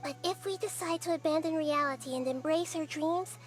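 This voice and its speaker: A woman voicing a small, wise young goddess who speaks softly and explains things in a measured way. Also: high-pitched, close to an everyday voice. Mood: calm. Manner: soft, measured, gently explaining. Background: game music.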